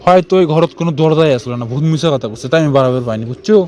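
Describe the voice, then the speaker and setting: A man's voice: on the high side, racing, warm tone, slight background noise, natural voice. A man with a northern Bangladeshi accent playing a cheeky, grumbling young friend in an amateur cartoon. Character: cheeky young man nagging his stingy friend for treats.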